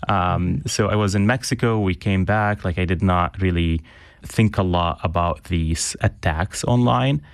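A young man from Syria, an interview guest speaking earnestly, pausing with frequent ums and restarts. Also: deep voice, no echo, clean studio sound. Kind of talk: reflective interview conversation.

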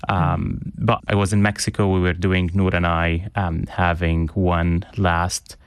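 A young man from Syria, an interview guest speaking earnestly, pausing with frequent ums and restarts. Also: sits low, little pitch movement, clean tone, dry recording, clean studio sound. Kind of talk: reflective interview conversation.

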